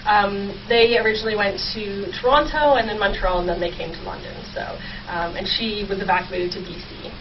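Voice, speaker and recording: A young woman researcher explaining her work as an interview guest, speaking thoughtfully and haltingly with short pauses. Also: mid-range voice. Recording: dull sound, faint noise, distant mic.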